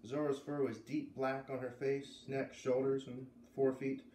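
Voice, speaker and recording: A man's voice: medium pitch, level tone, normal speed, warm tone. A man in his thirties reads a story aloud in a narrating style, with casual asides. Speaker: storyteller reading a children's novel aloud. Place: living room by a fireplace. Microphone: camera a few metres away. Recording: roomy, distant camera mic with faint noise.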